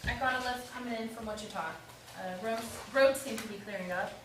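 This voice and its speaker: A woman's voice: fairly high pitch, a little fast, lightly acted. Blunt, shrewd actress as a protective woman, echoey. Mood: matter-of-fact. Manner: blunt, commanding. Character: Fran, firm, shrewd, protective woman giving orders. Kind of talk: staged play reading. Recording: echoey room, distant mic, faint noise.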